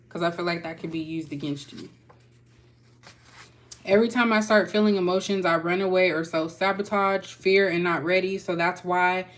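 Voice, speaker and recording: A young woman with a Texas accent giving a casual, chatty tarot reading and talking loosely to her viewers. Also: medium pitch. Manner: chatty, loose, folksy with y'all. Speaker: tarot reader.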